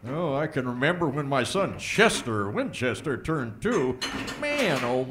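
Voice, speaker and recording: A man's voice: medium pitch, very animated, a little slow, fairly bright tone, slight background noise. A man playing a folksy, bumbling small-town sheriff who rambles and gets easily distracted, in a comedic audio drama. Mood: folksy, rambling.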